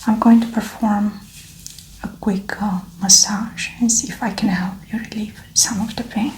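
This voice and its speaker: Whispery soft-spoken woman, even pitch. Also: normal speed, echoey room, a little hiss or hum, poor audio. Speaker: ASMR performer playing a medical examiner. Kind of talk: ASMR medical exam roleplay, soft spoken.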